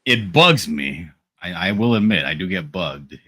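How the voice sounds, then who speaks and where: A man's voice: sits low, lively intonation, a little slow, bright, forceful tone, no echo. A man hosting an art livestream, blunt and exasperated in his talk. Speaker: artist hosting the stream. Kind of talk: casual banter on a livestream.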